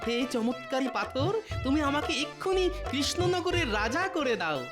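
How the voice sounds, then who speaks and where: High-pitched, sly court jester. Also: male voice, expressive, normal speed. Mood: clever, explaining. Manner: theatrical, pleading, explanatory. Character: clever witty court jester, humble but sly man. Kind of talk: Bengali comedy cartoon dubbing. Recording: music behind the voice.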